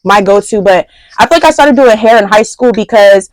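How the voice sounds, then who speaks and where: A woman in her twenties with an American accent chatting casually as an interview guest, candid, expressive and full of slang. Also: medium pitch, a little fast, no room sound, rough recording. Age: 20s. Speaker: podcast guest, entrepreneur. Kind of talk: casual chat.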